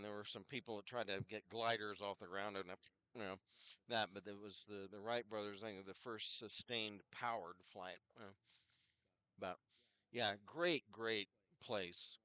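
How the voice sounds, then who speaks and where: A man's voice: lowish voice, bright, forceful tone, clean tone, no echo. An older man with a head cold and scratchy throat, chatting casually as a hockey announcer between plays. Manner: rambling, chatty, self-deprecating asides. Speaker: play-by-play announcer. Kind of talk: casual hockey broadcast commentary.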